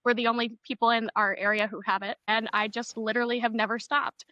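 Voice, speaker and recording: A woman's voice: on the high side, level tone, quick pace, pushed and bright, no room sound, muffled recording. A 25-year-old woman from Maine, a podcast guest on a video call, telling stories in an upbeat, descriptive way. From Maine, USA. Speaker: podcast guest, rental manager. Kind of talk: casual interview chat. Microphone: remote video call.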